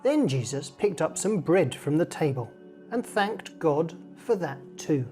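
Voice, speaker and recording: A woman reading a story aloud to children in an expressive storyteller's way, acting out the dialogue. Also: low voice, unhurried, soft background music.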